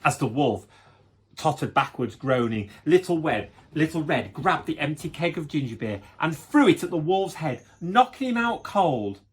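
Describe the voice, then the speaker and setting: Animated man reading a children's story. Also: a little high, moderate pace, breathy edge. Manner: playful, dramatic. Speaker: guest story reader.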